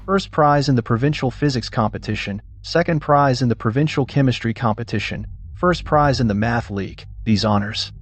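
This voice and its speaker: A man's voice: mid-range voice, moderate pace, lightly acted. A man playing a stern, authoritative homeroom teacher, speaking firmly and formally in a dramatic dub. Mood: firm, official. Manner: firm, formal, declarative. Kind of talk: dubbed Chinese web drama acting in English.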